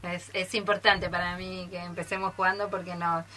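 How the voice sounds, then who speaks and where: A woman's voice: on the low side, flat delivery, normal speed, sharp tone, a little hiss or hum, rough recording. A woman with an Argentine Spanish accent chatting casually on live radio. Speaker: theatre workshop teacher. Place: radio studio, live broadcast.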